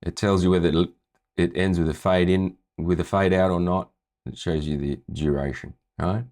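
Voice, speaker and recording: Very deep-voiced, chatty man tutoring. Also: normal speed. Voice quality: low voice. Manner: chatty, relaxed, often checks in with "right?". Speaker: music tutor. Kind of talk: casual screen-share tutorial.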